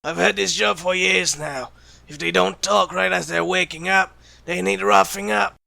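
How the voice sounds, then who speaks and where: A man playing a gruff, bloodthirsty veteran secret agent in an audio roleplay, menacing, sardonic and condescending as the bad cop. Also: sits high, steady tone, moderate pace, sharp tone, dry recording, full character voice. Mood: jaded, dismissive. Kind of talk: ASMR roleplay audio drama.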